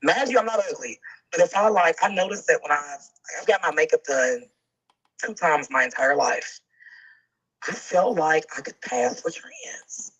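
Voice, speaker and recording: Woman, guest, casual talk-show chat, husky, swinging pitch. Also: moderate pace, rough recording. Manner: laughing, animated.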